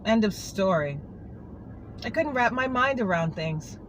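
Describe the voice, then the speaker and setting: Heartbroken anchorwoman pouring her heart out to a coworker, earnest and pleading, middle pitch, as a reader puts her on. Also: lively intonation, measured pace, a bit bright, slight background noise. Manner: earnest, pleading, confiding to a colleague. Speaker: monologue reader. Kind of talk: dramatic monologue reading.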